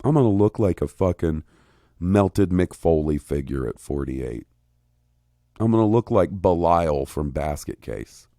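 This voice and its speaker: A man in his thirties chats on a podcast in a very deep, mellow voice. He rambles, swears freely and gets worked up. The sound is dry and close. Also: a little slow, no room sound. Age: 30s. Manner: rambling, profane, excitable. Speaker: podcast co-host. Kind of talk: casual podcast chat.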